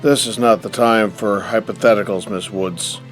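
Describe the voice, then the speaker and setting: Man playing a low, imperious rich patriarch. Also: fairly even intonation, moderate pace, lightly acted. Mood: stern, impatient. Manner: formal, imperious. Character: stern, pompous, wealthy middle-aged father ruling the group. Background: music behind the voice.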